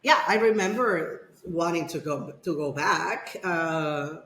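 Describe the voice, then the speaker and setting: A woman's voice: lowish voice, slow pace, a bit bright. Middle-aged woman guest, rambling story, roomy echo. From Dominican Republic. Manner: rambling, many fillers and restarts. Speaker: podcast guest. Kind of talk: casual personal storytelling. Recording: echoey room.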